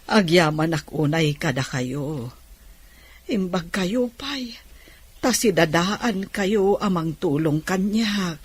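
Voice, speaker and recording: A woman's voice: fairly low pitch, expressive, slow pace, slightly rough, heavily acted. A woman playing an emotive, grateful older auntie in an Ilocano radio drama, with an Ilocano accent. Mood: remorseful, grateful.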